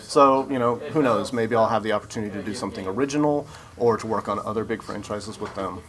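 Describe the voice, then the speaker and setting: Low-voiced man chatting over crowd noise. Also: fast. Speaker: interview guest, video game developer. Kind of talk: casual interview chat.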